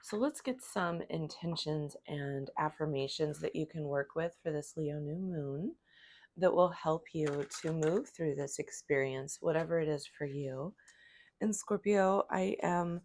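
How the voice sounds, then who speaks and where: Hoarse low-voiced woman reading tarot. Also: measured pace, a little mellow. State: hoarse from a cold. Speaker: tarot reader.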